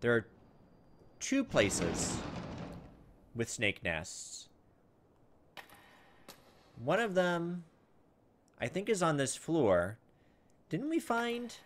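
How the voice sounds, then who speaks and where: A man giving a casual let's play commentary, thinking out loud, reading game text and tossing in exasperated asides. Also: on the high side, huge pitch swings, drawn-out words, long pauses, a little hiss or hum. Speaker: gaming video host. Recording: background music behind voice. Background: video game music.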